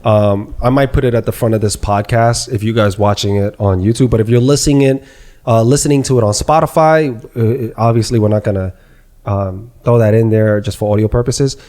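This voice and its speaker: A man hosting a podcast in a casual, excited way, often repeating himself for emphasis. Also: on the low side, a little fast. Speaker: podcast host.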